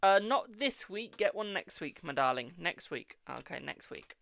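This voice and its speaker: A man's voice: high-pitched, sing-song, normal speed, creaky, a bit bright, a little hiss or hum. A man hosting a live-stream tarot reading, answering viewers' questions in a chatty, reassuring way and calling them "my darling".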